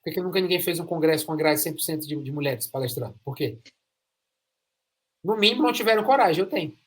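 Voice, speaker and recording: A man's voice: a little high, animated, fast talker. A Brazilian man hosting an interview podcast, talking emphatically and repeating phrases for stress. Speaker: podcast host. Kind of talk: enthusiastic interview chat.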